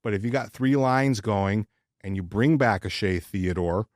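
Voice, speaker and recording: A man's voice: fairly low pitch, measured pace, no room sound, clean studio sound. A man co-hosting a sports podcast, chatting casually and giving opinions in informal, sometimes profane language.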